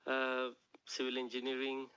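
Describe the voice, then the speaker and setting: A middle-aged man with a Bangladeshi accent, a native Bengali speaker, talking casually and haltingly as a guest in a radio studio interview. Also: medium pitch, completely flat delivery, pushed and bright, clear, resonant tone, poor audio. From Bangladesh and England. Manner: halting, hesitant, frequent "you know". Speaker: interview guest, charity worker and businessman.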